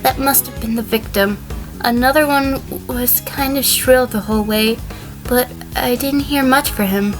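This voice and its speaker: A woman acting as a cagey female defendant on the witness stand in a courtroom drama. Her voice is on the high side, prickly and defiant yet prone to stammer, with music behind it. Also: measured pace, lightly acted. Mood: recounting, uneasy.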